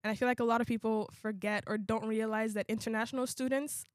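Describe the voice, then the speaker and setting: A young woman in her twenties, an international student born in Uganda, chatting casually in a relaxed way, often repeating her own phrases. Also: on the high side, quick pace, no echo, clean studio sound. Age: 20s. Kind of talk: casual conversation.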